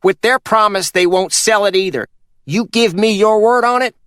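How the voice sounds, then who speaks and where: A man's voice: high voice, moderate pace, fairly bright tone, clear, resonant tone, no room sound, studio quality, voice pushed up. A man voicing a shrewd, bossy older uncle from who orders people around. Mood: commanding. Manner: commanding, scolding.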